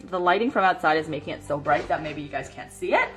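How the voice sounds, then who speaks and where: A woman's voice: low voice, expressive, fast, fairly bright tone, soft background music. A woman in her thirties chatting casually about her planner, sniffly from a cold and rambling with lots of fillers. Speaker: planner vlogger. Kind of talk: casual chat while planning. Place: home desk by a bright window.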